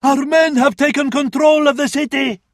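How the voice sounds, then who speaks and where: An actor playing a devout, grandiose advisor to a sultan in a video game, with an Arabic accent and flowery, dramatic delivery. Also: male voice, very high voice, fairly even intonation, moderate pace, no room sound, clean studio sound. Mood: exultant. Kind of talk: theatrical video game voice acting.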